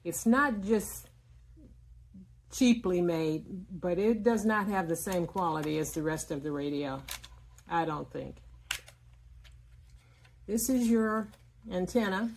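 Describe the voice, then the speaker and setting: A woman giving a casual, explanatory product demonstration in plain words, often pausing mid-sentence. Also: fairly low pitch. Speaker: product reviewer.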